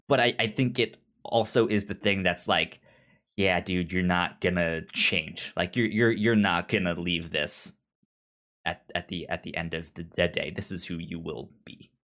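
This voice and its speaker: A man's voice: sits low, a bit bright. A man co-hosting a podcast in casual discussion of comics, often repeating and restarting his words as he goes. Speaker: podcast co-host.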